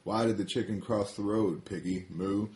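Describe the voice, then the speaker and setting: A man's voice: on the low side, fairly even intonation, normal speed, darkish tone, natural voice. A man voicing an earnest bull who moos between his words. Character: earnest male bull leader, mooing. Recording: roomy echo.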